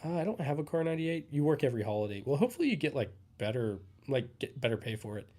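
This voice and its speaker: A man's voice: mid-range voice, quick pace. A young man who is sick and losing his voice, casually chatting and answering viewers' questions on a livestream. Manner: relaxed, rambling, answers chat comments. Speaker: livestream host. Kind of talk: casual live Q&A chat.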